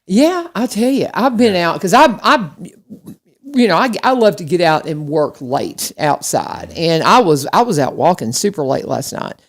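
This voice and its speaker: A woman's voice: fairly low pitch, expressive, moderate pace. Lady radio host, chatty, keeps restarting her sentences, studio mic. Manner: chatty, rambling with restarts and fillers. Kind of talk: casual local radio chat. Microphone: studio microphone.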